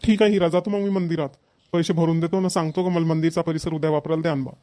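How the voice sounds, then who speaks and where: A chatty middle-aged village father from a cartoon comedy, acted by a man, practical and a little bossy. He speaks rapidly in a fairly high voice with a Varhadi Marathi accent, repeating himself for emphasis. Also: steady tone, dark tone, dry recording, studio quality, lightly acted. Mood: agreeable.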